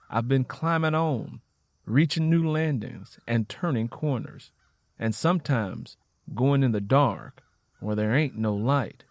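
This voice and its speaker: A man's voice: mid-range voice, gravelly, no echo, full character voice. A man voicing a weary, resilient mother with a Southern US accent, earnestly reciting advice to a son.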